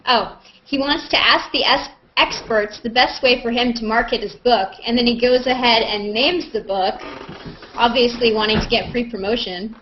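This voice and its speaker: A woman's voice: a little high, moderate pace. A woman casually hosting a live group chat over a web video call, with a playful manner. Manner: playful, prone to laughing. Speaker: host of a live online hangout. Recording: dull, noisy web call audio.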